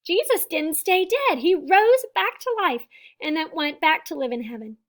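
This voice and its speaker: A woman reading a children's picture book aloud, with deliberate, emphatic phrasing and small explanatory asides for young listeners. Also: very high voice, expressive. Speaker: storybook reader and teacher.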